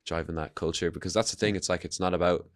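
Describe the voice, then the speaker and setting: A man's voice: low-pitched, fairly even intonation, fast talker, no echo, clean studio sound. A man hosting a podcast, asking his guests rambling, friendly questions. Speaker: podcast host. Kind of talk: casual interview.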